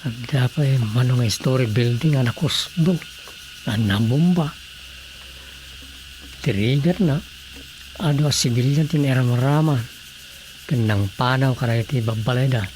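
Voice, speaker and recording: An actor with an Ilocano Filipino accent playing a married writer who muses aloud to himself in a radio drama. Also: male voice, mid-range voice, measured pace, long pauses, a little mellow, a little hiss or hum, lightly acted, rough recording. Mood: musing, concerned. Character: married man, a writer musing on world troubles.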